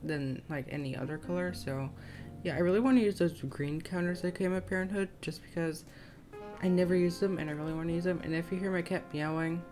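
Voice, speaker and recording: A young woman with a low, slightly scratchy voice talks through a game build in a casual voiceover. She rambles in a tentative, self-conscious way. Soft guitar music plays faintly behind her. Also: fairly quick. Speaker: gaming video creator. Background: soft plucked guitar music.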